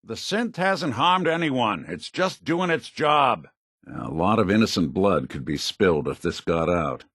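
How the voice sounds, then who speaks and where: A man playing a world-weary, principled android detective in a video game, with a gravelly American voice and a wry, hard-boiled noir delivery. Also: lowish voice, lively intonation, unhurried, fairly bright tone, no echo, clean studio sound, exaggerated voice. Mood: indignant. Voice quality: gravelly, husky.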